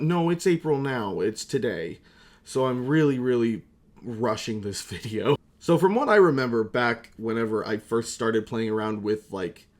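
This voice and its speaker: A young man talks in a middle-pitched voice, like a YouTuber catching up with viewers. He rambles in a casual, comedic way, mocking himself and swearing freely. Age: young adult. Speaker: YouTuber and amateur voice actor. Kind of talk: casual comedic vlog chat.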